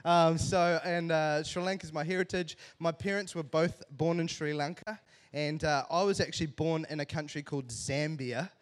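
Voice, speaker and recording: A man in his thirties with a New Zealand accent, giving a lively, joking church talk to a congregation. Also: fairly high pitch, normal speed. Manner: animated, joking, self-deprecating. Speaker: church speaker. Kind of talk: humorous sermon storytelling to a congregation.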